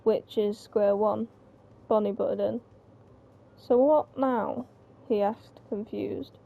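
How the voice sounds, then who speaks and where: A woman's voice: mid-range voice, steady tone, slow pace, gravelly. A woman reading a story aloud in a casual table read. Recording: dull, noisy home recording.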